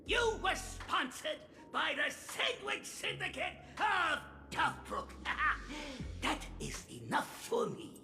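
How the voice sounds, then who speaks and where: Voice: sits high, big pitch swings, slow pace, few pauses, reverberant, soft background music, full character voice. An actor playing a flamboyant, scheming crime syndicate boss in a video game, with a theatrical, drawling delivery. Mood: boastful. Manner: theatrical, drawling, punctuated by chuckles and loud flourishes. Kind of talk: animated video game voice acting.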